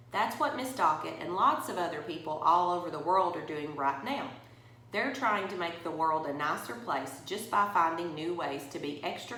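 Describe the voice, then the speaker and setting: A woman reads as a kind schoolteacher in a children's storybook. She explains gently and patiently in a fairly low voice. The room echoes around her.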